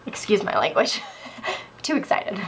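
A young woman with a slightly stuffy voice chats through her sticker haul, excited and gushing. She talks at a slow, easy pace. A faint room hum sits under her voice. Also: mid-range voice, creaky, low-quality recording. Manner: gushing, excitable, laughs often. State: a little stuffy. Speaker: planner sticker vlogger. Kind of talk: casual haul chat. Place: indoors at a wooden table.

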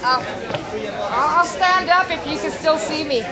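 A woman's voice: high-pitched, a little slow. A woman from Montreal introducing herself and her groups at a workshop. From Canada. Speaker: workshop participant. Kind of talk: self-introduction at outdoor workshop. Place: outdoor park gathering. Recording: loud crowd behind.

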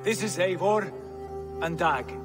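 An actor playing a proud, measured Viking jarl in a video game, formal and authoritative. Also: male voice, high voice, music in the background. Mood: calm, authoritative.

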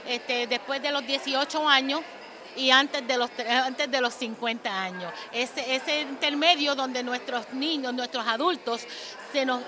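A woman's voice: high-pitched, a little slow. A woman representing an advocacy organization, explaining in a concerned way. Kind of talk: event interview. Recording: noticeable background noise.

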